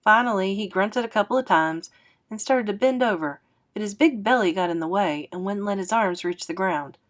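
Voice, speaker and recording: A woman reading a children's novel aloud as a teacher, in an expressive narrating style. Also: medium pitch, moderate pace.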